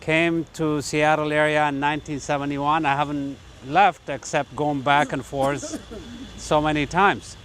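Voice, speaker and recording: Older Ethiopian-born man, relaxed. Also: a little high, measured pace. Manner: relaxed, hesitant with fillers. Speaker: Rotary volunteer. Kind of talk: casual speech to a group.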